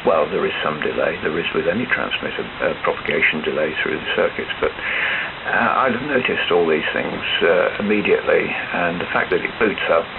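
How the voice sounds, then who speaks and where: A man chatting casually and technically as an amateur radio operator, in a hesitant, halting manner, heard over a shortwave radio transmission. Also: low voice, vocal fry. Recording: hissy narrow-band shortwave radio signal. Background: radio static and hiss.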